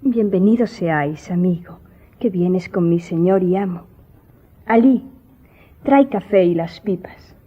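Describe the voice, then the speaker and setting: An actress playing a melancholy young Greek noblewoman in a radio drama, recounting memories in a solemn, formal, measured way. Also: lowish voice, slightly rough, no room sound. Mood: gracious. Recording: muffled audio with music behind.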